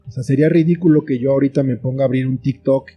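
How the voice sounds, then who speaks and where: A man's voice: mid-range voice, fairly even intonation, very fast, muffled recording. A middle-aged man with a Mexican accent speaking reflectively as a guest in a conversational interview. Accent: Mexican Spanish. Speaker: interview guest, writer and filmmaker. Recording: faint guitar music behind. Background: soft plucked guitar music.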